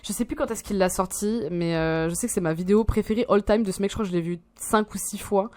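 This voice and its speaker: A woman's voice: medium pitch, fast, dark tone. A woman in her twenties, rambling and swearing as she reacts to a video in casual slang. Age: 20s.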